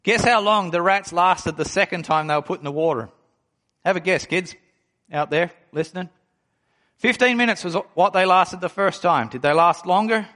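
A pastor in his forties with a fairly high voice preaches a sermon. He reads scripture aloud and then explains it, slowing down and pressing hard on the words that matter. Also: bright, forceful tone. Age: 40s. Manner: emphatic preaching, slows down to stress key phrases.

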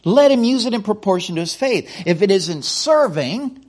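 A man's voice: high-pitched, very animated, normal speed, darkish tone. A middle-aged man from the United States preaching a sermon in a folksy way, often repeating words and restarting sentences.

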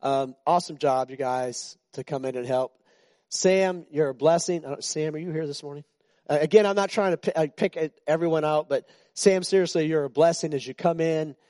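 A pastor in his sixties preaches in a loose, conversational way, joking with his flock and stumbling over restarts. His voice sits a little high for a man. The sound is dry and close, with almost no room echo. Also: moderate pace, a little mellow. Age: 60s. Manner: chatty and joking, with frequent restarts. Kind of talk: informal sermon to a congregation. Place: church.

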